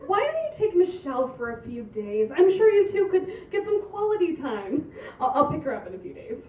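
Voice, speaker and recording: A woman's voice: high-pitched, very animated, fairly quick. A woman reading the part of an earnest, sheepish woman confessing to her ex at a comedy script table read. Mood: earnest, apologetic. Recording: muffled, noisy, echoey far-off room recording.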